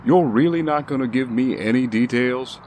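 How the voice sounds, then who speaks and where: A man's voice: mid-range voice, normal speed, darkish tone, slight background noise, lightly acted. A man playing a loyal but exasperated bodyguard in an audio drama, casual and skeptical.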